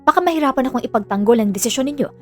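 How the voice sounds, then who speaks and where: An officious hospital doctor played by a woman in an audio drama, with a fairly high voice. She is formal and businesslike, polite on top with veiled menace underneath, over music. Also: expressive, racing, darkish tone. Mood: veiled threat. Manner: formal, businesslike, mixing English jargon into speech.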